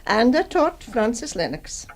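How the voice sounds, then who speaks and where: A shrewd old schoolmistress in her seventies in a radio drama. Her voice is fairly high and lilts widely as she delivers wry, teasing, deliberate judgements. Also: sing-song. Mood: dry, scathing. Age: 70s.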